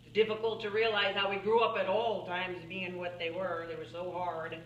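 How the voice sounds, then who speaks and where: A woman playing an elderly, folksy farm-woman painter in a theatrical monologue, halting and musing, plainspoken and wry as she reminisces. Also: lowish voice, little pitch movement, dull audio, natural voice. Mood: subdued, wistful. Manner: halting, musing, with small chuckles and pauses. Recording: roomy, distant mic, faint background noise.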